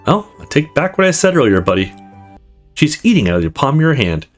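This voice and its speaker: A player voicing a calm, teasing, reassuring young man in a visual novel. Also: medium pitch, brisk, faint music behind, lightly acted.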